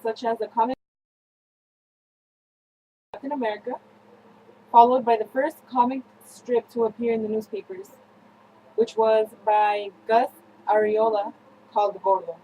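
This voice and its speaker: A young woman presenting a scripted student newscast, reading haltingly with slips and self-corrections. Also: on the high side, muffled recording, slight background noise. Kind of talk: scripted news-style narration for a history project.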